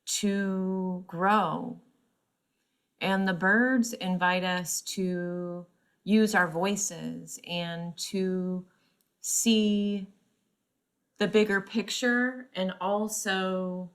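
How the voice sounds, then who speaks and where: A woman teaching yoga nidra, speaking in measured guided-meditation phrases with frequent pauses mid-sentence. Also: mid-range voice, fairly even intonation, clear, resonant tone.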